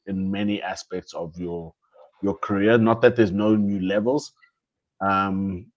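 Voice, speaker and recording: A young man with a lowish voice talks through the year in relaxed podcast chat. He muses aloud, circles back to restart phrases and slips in easygoing slang. The sound is muffled and lo-fi. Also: unhurried. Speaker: podcast co-host. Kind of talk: casual reflective chat. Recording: dull lo-fi sound.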